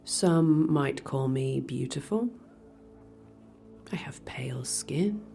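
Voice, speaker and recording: A woman voicing a proud, bored medusa who hides in the dark, speaking in haughty, breathy whispers. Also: very deep voice, animated, slow pace, faint music behind, exaggerated voice. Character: proud female medusa hiding behind a screen, bored and sneering. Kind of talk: audio drama acting.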